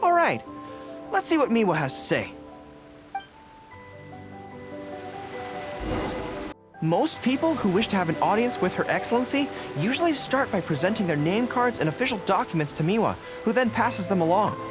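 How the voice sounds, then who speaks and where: An eager young general in a video game. He is earnest and bashful, with animated, swinging intonation. Music plays clearly behind him. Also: sits high. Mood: hopeful. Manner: eager, halting when embarrassed. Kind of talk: video game dub dialogue.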